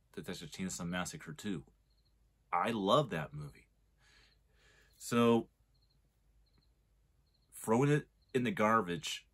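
Man, 40s low-pitched casual film reviewer, rambling and argumentative, frequent restarts. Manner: rambling, restarts sentences, argues a point. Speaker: movie reviewer.

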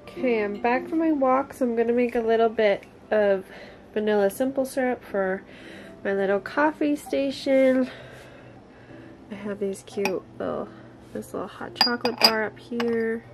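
A young woman in her twenties vlogging casually from her cozy wooden home, chatty and upbeat and a little hoarse from a cold. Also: a little high, normal speed, background noise. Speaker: vlogger and songwriter. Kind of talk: casual chat to camera. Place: cozy wooden home kitchen and living room.

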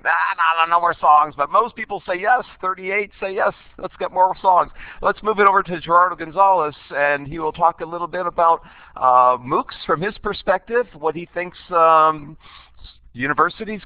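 An energetic man hosts a webinar, slightly high in pitch and briskly chatty. His voice comes through a thin, phone-like connection with no room sound. He keeps it friendly and quick. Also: no room sound. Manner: energetic, chatty, polls the audience. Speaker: online course host. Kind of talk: upbeat webinar hosting. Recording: tinny phone-like web audio.

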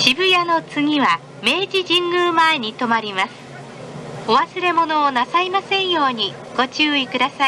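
A woman's voice: sits high, sharp tone, poor audio. A woman making scripted train announcements in a polite, formal, carefully enunciated way. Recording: train announcement with clear vehicle noise. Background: moving train noise.

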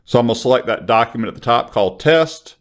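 A man presenting a casual software tutorial into a close studio mic. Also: medium pitch, animated, quick pace, faint music behind. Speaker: software trainer. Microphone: large studio condenser microphone on an arm, close.

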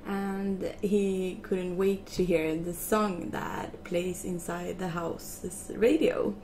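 A woman's voice: fairly low pitch, steady tone, slow, dark tone, slight background noise. A woman in her twenties hosting a gaming video, chatting casually to camera at a desk in a small room. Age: 20s. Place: small furnished room indoors.

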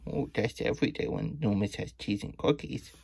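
A man acts out a cheerful little plush hedgehog hosting a children's story time. The voice is husky and sits close in a dry room. It chats in muddled, third-person baby talk between bits of storybook. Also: on the low side, huge pitch swings, moderate pace, a little mellow, dry recording, heavily acted. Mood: wondering, sweet. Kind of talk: children's storybook reading with chatty asides. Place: small indoor room at a desk.

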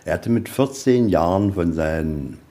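A man with a very deep, mellow voice gives an informal guided tour. He explains in a conversational, slightly rambling way, as a museum guide talking to visitors. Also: lively intonation, measured pace.

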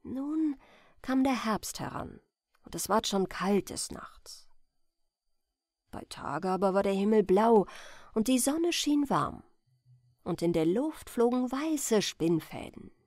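A woman storyteller reading a bedtime fairy tale as scripted narration, with expressive, careful phrasing. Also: mid-range voice, takes it slowly, no room sound, clean studio sound.

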